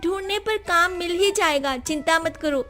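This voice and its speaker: A woman playing a brave, caring poor orphan girl in an animated moral story, earnest and hopeful. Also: very high-pitched, moderate pace, voice pushed up. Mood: reassuring, determined. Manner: earnest, reassuring. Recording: music behind the voice.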